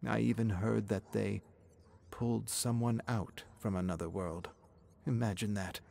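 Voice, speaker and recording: A man's voice: lowish voice, steady tone, measured pace, creaky, dark tone. A man voicing an anxious, grieving middle-aged woman in a story, speaking in a hesitant, wistful way. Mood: uneasy, hushed.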